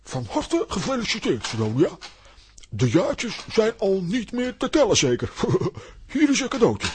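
A man's voice: high voice, huge pitch swings, measured pace, a little mellow, full character voice. An actor playing a vain, easily offended comic man in a children's radio play, indignant and theatrical. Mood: cheerful. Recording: clearly noisy recording with clicks.

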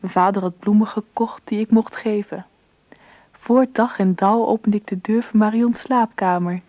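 A woman narrating a radio drama in a calm, measured way. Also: mid-range voice, lo-fi sound, close to an everyday voice. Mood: reflective.

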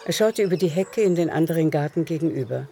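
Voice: medium pitch, expressive, fast, vocal fry, dark tone, slight background noise. A narrator telling a literary story in a radio drama, in a composed manner. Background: music.

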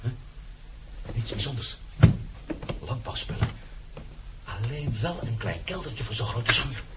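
An actor playing a bold, stubborn adventurer in a radio play, with an urgent, clipped way of talking. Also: male voice, mid-range voice, sing-song, a little slow. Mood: curious, intent. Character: bold, stubborn male adventurer prying into gruesome secrets. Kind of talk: Dutch radio play acting. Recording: noisy old radio drama recording.

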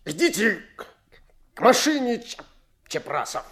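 An actor playing a stern, short-tempered garage boss in a radio play, with a gravelly bass voice and terse, halting delivery. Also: male voice, very animated, fairly bright tone, exaggerated voice. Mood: flustered anger. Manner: terse, halting when agitated. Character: stern dry garage boss, easily losing his temper. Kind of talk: 1970s Soviet radio play acting.

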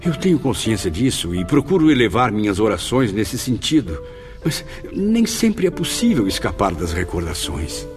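A man's voice: lowish voice, big pitch swings, moderate pace, faint music behind. An actor playing a melancholy, guilt-ridden male spirit in a radio drama, with solemn, literary Brazilian diction. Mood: wistful.

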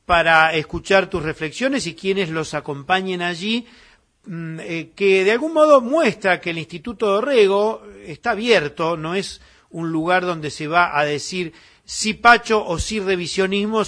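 A male morning radio host, speaking in a loose, conversational way. His voice sits fairly high for a man, and he easily trades jokes between announcements. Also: a little slow. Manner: relaxed, chatty, jokes with co-host. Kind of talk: live morning radio hosting.

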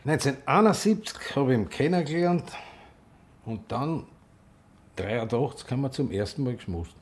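Sharp-tongued Austrian drag queen played by a man. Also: medium pitch, expressive, normal speed, a little hiss or hum, close to an everyday voice. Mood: reminiscing. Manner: theatrical, teasing. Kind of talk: naturalistic radio drama dialogue.

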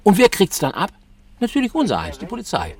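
A man's voice: high-pitched, huge pitch swings, brisk, husky, heavily acted. An actor playing a patrol policeman who grumbles and mutters to himself in a radio play. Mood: grumbling. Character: grumbling middle-aged male patrol cop.

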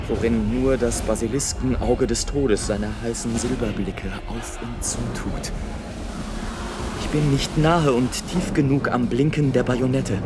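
An actor playing an anguished, visionary balloonist in a literary radio play, declaiming a solemn dramatic monologue. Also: male voice, mid-range voice, natural voice. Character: anguished, visionary balloonist narrating war below, bitter and rapturous.

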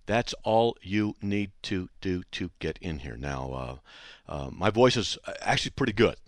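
Hoarse, scratchy male radio host. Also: low voice, expressive, measured pace, no echo. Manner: chatty and joking, breaking off to talk to people behind the studio window. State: hoarse, voice still shot. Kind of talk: call-in radio talk. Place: radio studio. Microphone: studio microphone.

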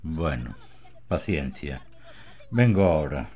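An actor playing a patient older working-class husband who drives for his masters, speaking softly in a radio drama. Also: a bass voice, very animated, takes it slowly, exaggerated voice. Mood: gentle. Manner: gentle, soft-spoken.

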